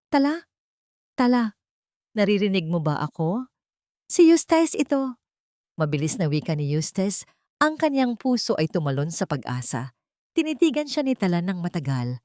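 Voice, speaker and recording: A woman's voice: low voice, darkish tone, no echo, studio quality. A woman narrator reading a scripted story in a dramatic storytelling style.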